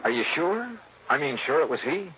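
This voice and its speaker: An actor playing a wry, breezy insurance investigator with a punchy, wisecracking delivery in the style of 1950s radio detective drama. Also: male voice, on the high side, very animated, fairly quick, a little hiss or hum. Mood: businesslike, curious. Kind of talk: 1950s radio detective acting with first-person narration. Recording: narrow old radio broadcast with music.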